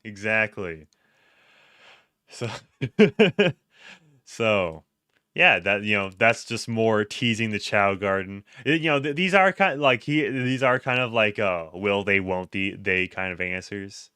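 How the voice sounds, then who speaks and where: A man hosts a casual stream, his lowish voice bright and clean on a dry close mic. He reads chat aloud, laughs easily and often trips over himself to restart a thought. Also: animated, slow pace, clean tone, no echo. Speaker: streamer host. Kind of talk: casual livestream chat, reading chat and tweets aloud.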